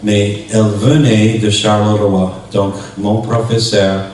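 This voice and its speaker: Slow English-speaking Canadian preacher, echoey hall. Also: male voice, fairly low pitch, little pitch movement, darkish tone. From New Brunswick, Canada. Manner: halting, searching for words, short phrases. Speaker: visiting pastor. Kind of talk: sermon. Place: church hall during a worship service. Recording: echoey hall, distant mic, faint noise.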